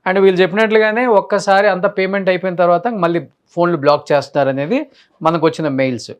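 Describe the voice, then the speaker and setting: A man's voice: high-pitched, quick pace, smooth. A male news anchor giving unscripted commentary, speaking emphatically and listing his points, mixing in English words.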